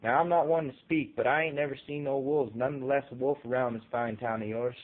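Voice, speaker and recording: A man's voice: medium pitch, steady tone, a little fast, close to an everyday voice. A folksy small-town sheriff acted by a male reader speaks with deferential doubt in a storybook tale. The voice sits a little above ordinary talk, on a thin phone-like recording. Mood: skeptical. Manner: folksy drawling dialect grammar.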